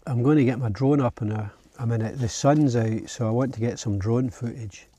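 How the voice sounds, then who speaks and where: A man with a Scottish accent and a husky voice, chatting casually and informally to camera outdoors as a camping vlogger. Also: mid-range voice, fairly quick. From Scotland. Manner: relaxed, informal, chatting as he goes. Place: outdoors at a lochside campsite.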